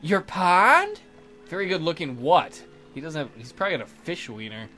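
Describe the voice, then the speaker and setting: A man's voice: on the high side, sing-song, normal speed, pushed and bright, faint music behind. A man hosting a let's play, reading out a visual novel and mocking it with crude joking commentary.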